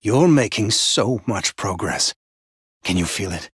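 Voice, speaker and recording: Sadistic game villain, husky, unhurried, seductive menace, close dry sound. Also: male voice, on the low side, expressive, no room sound, full character voice. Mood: intimate, menacing. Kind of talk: video game voice lines.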